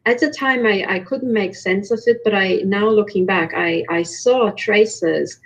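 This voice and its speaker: A woman from Romania giving personal testimony as a guest in an online panel, earnest and halting, with restarts. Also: mid-range voice, low-quality recording. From born in Romania, later moved to Germany. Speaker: invited panel guest, researcher. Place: remote online call.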